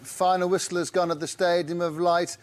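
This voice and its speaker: A male studio presenter with a slightly high-set voice hosts a live football results programme. He delivers score updates and hands over to reporters in a broadcast style. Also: flat delivery, fairly quick.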